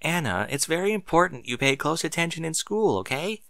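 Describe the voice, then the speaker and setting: Man voicing a caring, harried mother, lifted pitch. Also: big pitch swings, a little fast, gravelly, pushed and bright, no echo, clean studio sound, heavily acted. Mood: gently coaxing. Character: caring, harried mother, cheerful but worried. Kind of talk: audiobook character dialogue.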